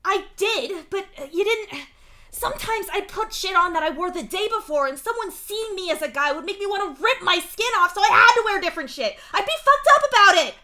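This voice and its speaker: A woman voicing an angry, exasperated young male troll in a story, swearing and stumbling through yelled outbursts. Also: very high-pitched, animated, brisk, voice pushed up, exaggerated voice. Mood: frantic shouting.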